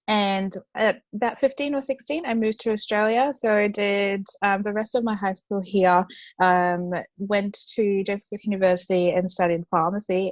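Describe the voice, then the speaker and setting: A woman podcast guest talking in a relaxed way, laughing easily in casual chat. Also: mid-range voice, no echo.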